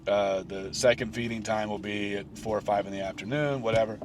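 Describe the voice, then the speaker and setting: A man's voice: fairly low pitch, steady tone, a little fast, a little hiss or hum. A man in his late forties talking alone on his own podcast, rambling with many restarts and fillers. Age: 40s. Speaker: podcast host. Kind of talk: solo reflective podcast talk.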